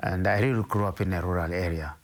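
Deep-voiced older Zimbabwean man, measured. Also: steady tone. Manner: measured, hesitant, with frequent restarts. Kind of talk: interview, recounting his life.

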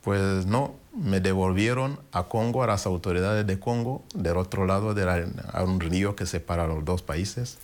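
A man with a very low, deep voice tells his life story in an interview. He speaks in a reflective, unhurried way, pausing and restarting as he searches for words. Spanish is not his tongue. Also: a little mellow. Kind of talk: personal testimony in an interview.

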